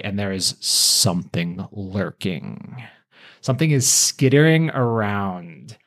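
Podcast cohost doing a casual story recap, medium pitch, playful n informal, little dramatic asides. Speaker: podcast co-host.

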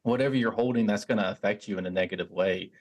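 A man's voice: lowish voice, fast, a little mellow. A man hosting a podcast, reflecting back on what his guest said in a thoughtful, casual way, often restarting his sentences. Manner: thoughtful, reflective, many restarts and "you know"s. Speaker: podcast host. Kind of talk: casual interview chat.

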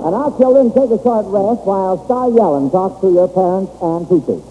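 A man hosting an old-time radio quiz show from a stage, talking in a halting, folksy way. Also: high voice, phone-quality sound. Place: stage in an auditorium. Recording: echoey hall, thin old radio recording, hiss.

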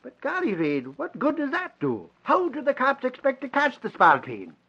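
An actor playing an excitable, boastful, folksy sidekick in an old-time radio drama. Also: male voice, extremely high pitch, moderate pace, creaky, breathy edge, exaggerated voice. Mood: excited, exasperated. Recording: dull old radio recording.

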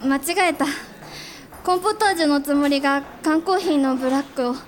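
An actress playing an anxious, lovestruck young office worker, earnest and melodramatic in a live radio drama. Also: female voice, high-pitched, fast, slight background noise, lightly acted. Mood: dejected. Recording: live event, long hall reverb.

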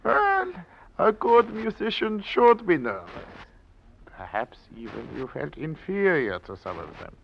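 Bossy old maestro in a radio play, high creaky voice, teasing, lecturing, repeats himself. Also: male voice, sing-song, a little slow, exaggerated voice. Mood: lecturing, wry. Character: imperious, teasing older male maestro conductor. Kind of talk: old-time radio drama acting. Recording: dull, hissy old radio recording.

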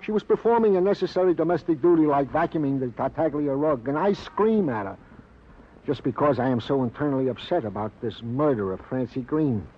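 An actor playing an earnest, sorrowful, long-winded police sergeant with pompously formal wording in a radio drama. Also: male voice, mid-range voice, lively intonation, fairly quick, lightly acted. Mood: anguished, plaintive. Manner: wordy, pompously formal, plaintive. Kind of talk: 1950s radio drama acting. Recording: muffled old radio recording.

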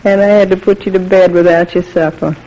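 A middle-aged woman playing a tender, doting mother in an old radio drama, with a soothing, gentle manner. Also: lowish voice, steady tone, brisk, highs cut off, lightly acted. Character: tender, doting mother of a grown soldier son. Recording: old radio recording, faint noise.

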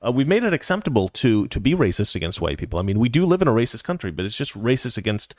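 A man's voice: medium pitch, big pitch swings, fast talker, dry recording. Brief: man, 40s, stream host chatting with viewers, rambling with false starts, close dry mic. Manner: rambling with restarts, reads comments aloud. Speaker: livestream host reading chat. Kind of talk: casual livestream chat.

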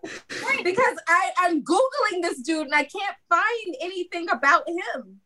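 A woman's voice: extremely high pitch, expressive, a little slow, sharp tone. A woman in casual podcast banter, blunt, sarcastic and sweary.

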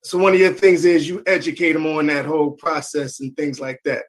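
High-pitched male interviewer. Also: fairly quick. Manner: brief affirmations, steady questioning. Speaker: interview host. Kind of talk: interview questions.

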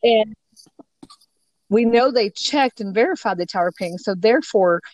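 A woman's voice: fairly high pitch, moderate pace, no room sound. A woman co-hosting a true crime podcast, talking casually and rambling a bit, her voice slightly scratchy from allergies. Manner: rambling, many ums and you-knows. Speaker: podcast co-host.

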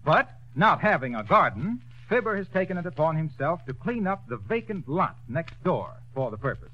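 Male announcer, declamatory old-time ad read, lively pitch, lo-fi radio sound. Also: normal speed. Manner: polished, declamatory sales delivery. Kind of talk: 1930s radio commercial and show announcing. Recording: dull old radio broadcast recording.

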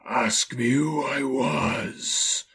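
A man voicing the solemn, unearthly ghost of a dead man, speaking in a slow, drawn-out, breathy way for a storybook. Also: mid-range voice, fairly even intonation, fairly bright tone, pitched above the performer's own voice, heavily acted. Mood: solemn, eerie. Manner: slow, drawn-out, deliberate delivery.

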